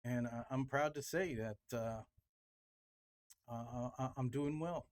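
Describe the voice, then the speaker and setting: A man's voice: mid-range voice, slow. A fifty-year-old man talking casually about making music, rambling and restarting his sentences, with lots of fillers. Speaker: music producer vlogger. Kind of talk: casual home-studio vlog.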